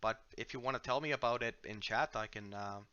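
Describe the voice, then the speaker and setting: A man from Finland with a Finnish accent, hosting a casual game livestream on a headset mic, relaxed and self-deprecating as he plays and answers viewer questions. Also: medium pitch, fairly quick, smooth, muffled recording. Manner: relaxed, self-deprecating, frequent filler words and restarts. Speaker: livestream host from the game company. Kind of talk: casual livestream chat while playing and reading viewer questions.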